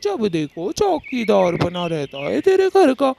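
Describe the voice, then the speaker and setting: A woman's voice: medium pitch, huge pitch swings, slow pace, vocal fry, warm tone, slight background noise, full character voice. A woman voicing a vain, lecherous male bird in a Hindi cartoon, swaggering and taunting as he talks. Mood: leering, flirting. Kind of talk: Hindi cartoon moral story dialogue.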